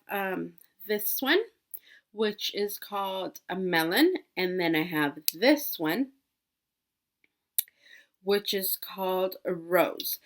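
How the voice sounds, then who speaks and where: A woman's voice: medium pitch, a bit bright. A woman in her twenties, sick with a cold, chatting casually through a makeup tutorial with many fillers and restarts. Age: 20s. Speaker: beauty vlogger.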